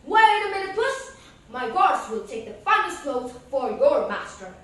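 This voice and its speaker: A woman's voice: mid-range voice, big pitch swings, unhurried, heavy reverb, distant mic, pitched below the performer's own voice. A woman reading a gracious storybook king. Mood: urgent. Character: gracious old king, pleased and generous.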